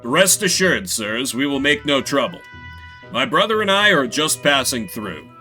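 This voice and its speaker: A man voicing a calm, polite, protective older mouse brother in video game dialogue, with formal, measured phrasing. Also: mid-range voice, soft background music, full character voice, poor audio.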